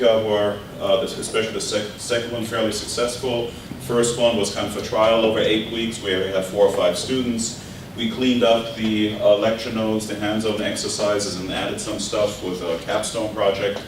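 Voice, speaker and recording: A middle-aged man with a German accent gives an informal workshop lecture, talking conversationally with many fillers and restarts. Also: fairly low pitch. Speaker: physics professor teaching a workshop. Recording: distant mic in an echoey room with background noise.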